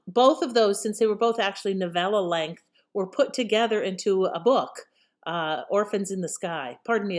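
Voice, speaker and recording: A woman host giving a casual introduction to an old radio show in a hesitant, apologetic manner. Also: medium pitch.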